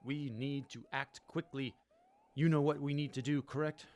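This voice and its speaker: A man's voice: medium pitch, fairly even intonation, normal speed, darkish tone, lightly acted. A man playing a stern military General in a radio play, speaking formally and with urgent command. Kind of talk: semi-comedic radio play acting.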